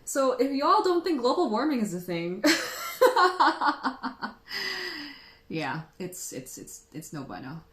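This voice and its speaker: A woman's voice: medium pitch, huge pitch swings, moderate pace, hardly any pauses. Playful woman chatting in an echoey room. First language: Tagalog. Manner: playful, teasing, jokey. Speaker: host of a tea tasting livestream. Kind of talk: casual livestream chat with viewers.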